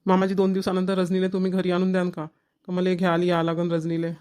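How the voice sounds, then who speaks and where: An actor playing a devoted, earnest young newlywed husband in a cartoon family comedy, with a Varhadi Marathi accent from Vidarbha. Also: male voice, sits high, monotone, fast, a little mellow, clear, resonant tone, lightly acted. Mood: reassuring.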